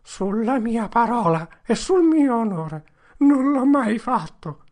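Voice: high voice, lively intonation, unhurried, gravelly, bright, forceful tone, pitched above the performer's own voice, exaggerated voice. An audiobook narrator voicing a frightened accused man who sobs and pleads that he is innocent. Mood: pleading, sobbing. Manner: tearful, pleading, broken. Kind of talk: audiobook character voice.

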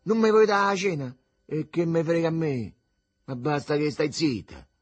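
A man's voice: fairly high pitch, normal speed, no room sound, pitched above the performer's own voice, lightly acted. A man voicing a sullen, insolent Roman youth of nearly twenty in a storybook, bitter and contemptuous, with a Roman accent. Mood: irritated. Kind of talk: dramatic audiobook character reading.

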